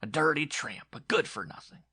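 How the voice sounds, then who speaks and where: A gruff farmer from a dark tale, played by a male narrator, hot-tempered and scornful. He barks his words with a hard, forceful edge, raised above a normal speaking pitch. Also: lively intonation, moderate pace, heavily acted. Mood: angry, threatening. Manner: gruff, barking, contemptuous. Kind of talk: audiobook horror story acting.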